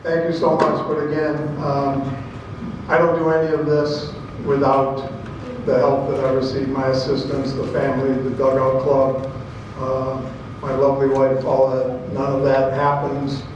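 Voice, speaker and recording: A man's voice: mid-range voice, monotone, a little slow, lo-fi sound, background noise. A man, a baseball coach, giving a plain, grateful thank-you speech and announcements. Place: banquet room. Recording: echoey room, distant mic, crowd chatter.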